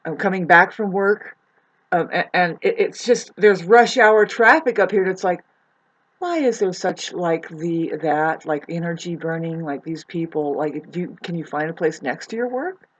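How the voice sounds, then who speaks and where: A woman with a husky voice talking casually in a meandering, halting monologue, often trailing off mid-thought. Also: lowish voice, expressive, dull audio. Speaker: vlogger. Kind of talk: rambling spoken monologue, casual chat.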